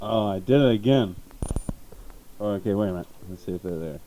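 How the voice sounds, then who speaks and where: A man's voice: fairly low pitch, fairly quick, dark tone, slight background noise, poor audio. A man co-hosting a radio show with casual, interjected banter.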